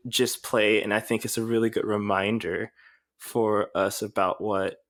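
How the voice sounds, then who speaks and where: A man's voice: lowish voice, level tone, unhurried, fairly bright tone. Rambling, hesitant male podcast co-host. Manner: rambling, hesitant, self-deprecating. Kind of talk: casual podcast conversation.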